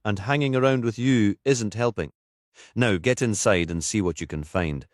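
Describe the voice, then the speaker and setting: A man voicing a gruff, sardonic police detective. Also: lowish voice, normal speed, no room sound, studio quality, pitched above the performer's own voice, close to an everyday voice. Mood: commanding. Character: gruff, sardonic male police detective, world-weary and commanding.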